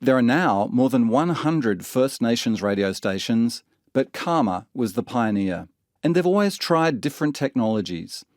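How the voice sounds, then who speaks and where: A man narrates a documentary from a script as its host. His voice is mid-pitched, with a dry sound free of room echo. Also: a little mellow.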